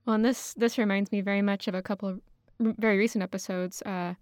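A woman's voice: medium pitch, fairly even intonation, quick pace, no room sound. A woman co-hosting a podcast, discussing news in an earnest, explanatory, slightly tentative conversational way. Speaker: podcast co-host.

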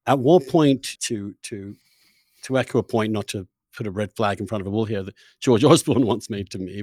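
A man's voice: on the low side, darkish tone, no echo. A man conducting a conversational political interview, asking long, discursive questions that he rephrases as he goes. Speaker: interviewer, podcast host.

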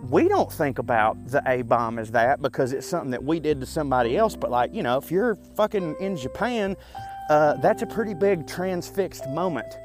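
A man's voice: on the high side, expressive, moderate pace, music in the background. Rambling Southern man in his thirties. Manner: chatty, profane, self-deprecating, frequent fillers. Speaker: podcast host. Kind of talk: casual rambling monologue.

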